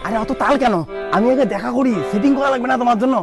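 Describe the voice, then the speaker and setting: A man's voice: extremely high pitch, rapid-fire, music in the background. A young man playing a cheeky, exasperated, lovestruck son in an amateur comedy skit, with a whiny, dramatic manner. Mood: sheepish, cheeky.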